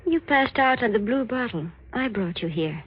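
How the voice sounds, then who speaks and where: Husky, mysterious femme fatale. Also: female voice, on the high side, animated, normal speed. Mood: calm, cryptic. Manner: cool, cryptic, coaxing. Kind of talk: 1940s radio mystery acting. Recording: dull old radio recording.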